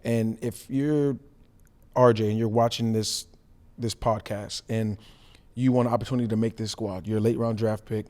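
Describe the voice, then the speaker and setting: A man's voice: lowish voice, steady tone, moderate pace, darkish tone. An American man, a former football player, co-hosting a podcast and asking questions in a conversational way. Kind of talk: conversational sports interview.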